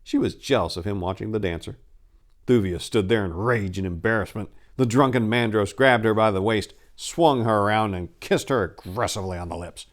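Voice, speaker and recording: A man's voice: fairly low pitch, moderate pace. A man narrating a story as host, in a dramatic, theatrical and emphatic storytelling style.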